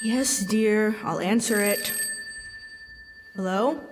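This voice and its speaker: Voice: mid-range voice, slow. A performer playing a mild, put-upon middle-aged father in an old-time radio comedy, on stage in a hall. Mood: flustered, resigned. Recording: echoey hall stage, some noise.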